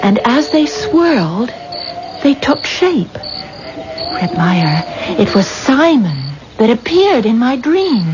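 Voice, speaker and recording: An actress playing a grieving, dreamy young bride in a 1970s radio drama, earnest and pleading. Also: female voice, a little high, very animated, slow. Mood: wistful, grieving. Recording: muffled, noisy old radio broadcast.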